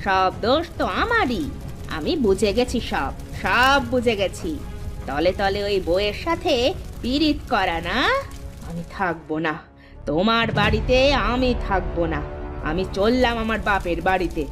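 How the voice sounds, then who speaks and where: A woman voicing a quarrelsome, suspicious, sharp-tongued village wife in a comic folk-tale cartoon, with theatrical scolding and wailing. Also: mid-range voice, big pitch swings, unhurried, faint music behind. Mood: jealous, wailing.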